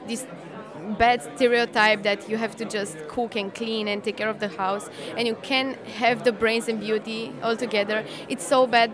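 A young woman answers an interviewer informally and with emphasis. Her pitch is a little high, and a crowd is clearly audible around her. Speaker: science fair participant being interviewed. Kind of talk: casual spoken interview answers. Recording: clear crowd noise behind. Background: crowd chatter.

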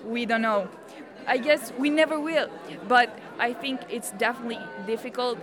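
High-pitched young woman in busy crowd. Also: moderate pace. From Romania. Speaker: interviewee. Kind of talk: conversational interview answers. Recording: busy public space, crowd chatter. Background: crowd chatter.

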